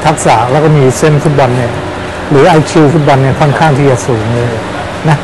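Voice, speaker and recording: A man in his sixties, a veteran football coach, talking animatedly in an outdoor interview beside a pitch with a lapel mic. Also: fairly quick, noisy background. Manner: animated, exclaims often.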